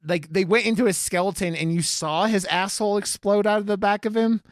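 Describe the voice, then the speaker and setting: A man chatting casually as a podcast co-host, rambling and excitable, with free swearing. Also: high-pitched, fairly quick, no echo, studio quality. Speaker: podcast co-host reviewing horror movies. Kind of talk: casual chat.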